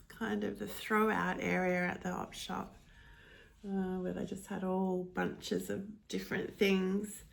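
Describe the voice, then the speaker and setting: A woman talks through her thrift-shop haul, slow and unhurried, with plenty of ums. Her voice is nasal and stuffy from hay fever, with a slight gravelly fry at the ends of phrases. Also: medium pitch. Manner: slow, gentle, with frequent ums. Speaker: thrift vlogger. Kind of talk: casual show-and-tell of thrift finds.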